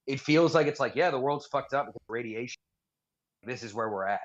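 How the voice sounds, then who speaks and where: Male podcast co-host, average pitch, conversational and informal, somewhat dull recording. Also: fairly quick. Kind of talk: casual chat. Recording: slightly dull, lo-fi audio.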